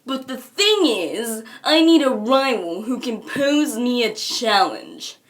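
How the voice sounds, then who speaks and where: A woman's voice: fairly high pitch, very animated, takes it slowly. A woman voicing a haughty, pompous young troll aristocrat with theatrical delivery. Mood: grandiose. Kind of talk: fan character voice acting reel.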